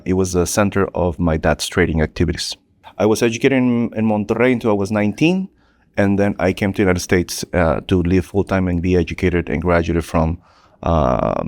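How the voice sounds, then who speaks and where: Man, from Mexico, economist, very low voice, hesitant, dry recording. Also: a little mellow, dry recording. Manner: informal, hesitant, many fillers. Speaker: economics professor. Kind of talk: personal introduction.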